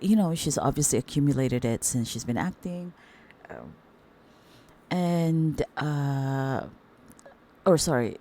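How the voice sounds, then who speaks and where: A woman with an extremely low voice, hoarse and husky from the flu. She talks slowly and warmly in a chatty way, calling her viewers darlings as she comments on the news. She sounds middle-aged. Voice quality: very deep voice. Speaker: commentary channel host. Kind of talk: casual commentary chat.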